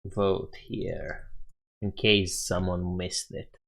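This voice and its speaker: A man's voice: deep voice, flat delivery, very slow, gravelly. A young man with a Romanian accent, casually chatting with viewers on a coding live stream, laughing often and thinking aloud.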